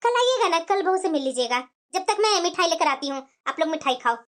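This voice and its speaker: A woman's voice: very high voice, sing-song, fast talker. A woman voicing a middle-aged mother-in-law in a family cartoon, patient and placating, with a plaintive undertone. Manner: coaxing, plaintive. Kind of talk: cartoon family drama dialogue.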